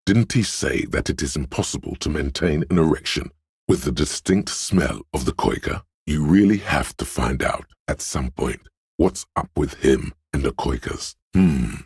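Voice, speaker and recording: An extremely deep voice plays a detective's quick-witted instinct in a narrative video game. It is deliberate and watchful, addressing the listener with dramatic weight. The sound is very dry, with no room around it. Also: male voice, animated, a little mellow, husky, dry recording, full character voice. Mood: alert, wry. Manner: deliberate, alert, dramatic second-person narration. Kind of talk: scripted game narration, voice of an inner thought.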